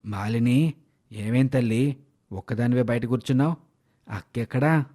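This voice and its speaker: A man voicing a caring middle-aged village father who speaks tenderly to his child in an animated moral story. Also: mid-range voice, normal speed, close to an everyday voice. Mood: concerned.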